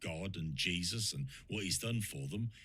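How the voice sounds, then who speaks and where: A man's voice: deep voice, lively intonation, normal speed, sharp tone, breathy edge. A man hosting a radio interview who asks his guest probing, leading questions. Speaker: radio presenter.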